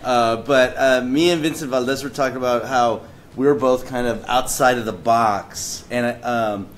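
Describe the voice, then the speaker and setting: A man sitting on a panel and telling a story in an easygoing way, pausing often with fillers. His voice sits at an ordinary pitch, with a faint hiss in the background. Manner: relaxed, anecdotal, with hesitations. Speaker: panelist, cartoonist. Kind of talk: casual panel talk. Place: event room at a museum.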